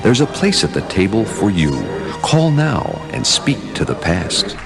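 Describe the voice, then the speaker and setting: A man's voice: lowish voice, very animated, measured pace, gravelly, full character voice. A man voicing a solemn, beckoning announcer in a spooky phone seance commercial. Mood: spooky inviting. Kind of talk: spooky television ad voice-over. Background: music behind the voice.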